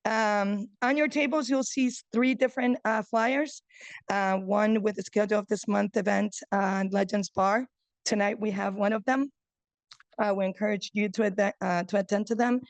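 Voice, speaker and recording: A woman in her forties, originally from Chile, speaks at a breakfast meeting, reading haltingly from her phone. Her mid-range voice has a gravelly edge, and she fills the gaps with ums and uhs. The sound is dry and close. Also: medium pitch, fairly even intonation, no room sound. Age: 40s. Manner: hesitant, many ums and uhs, reading from notes. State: nervous. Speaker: gallery owner giving a talk. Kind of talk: nervous public speech read from a phone.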